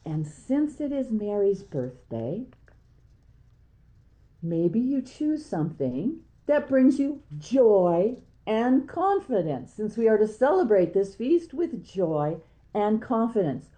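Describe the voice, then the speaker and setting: A woman's voice: mid-range voice, sing-song, slow pace, mellow. A woman guest on a religious talk show, speaking informally and emphatically about faith. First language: not Latin or Spanish; English.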